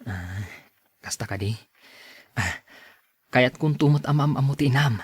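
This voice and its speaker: A man's voice: mid-range voice, lively intonation, a little slow, breathy edge, dry recording, studio quality. A man acting an earnest, courteous young suitor in a radio drama, with an Ilocano accent. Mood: courting, friendly.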